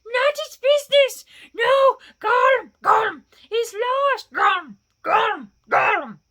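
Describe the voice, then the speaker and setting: A woman's voice: very high-pitched, lively intonation, slow, sharp tone, voice pushed up, exaggerated voice. A woman voicing a wretched, wicked male cave creature in a storybook, with a hissing, whispery voice that breaks into shrieks, muttering obsessively in broken grammar. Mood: frantic, wailing. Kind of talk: storybook character voice.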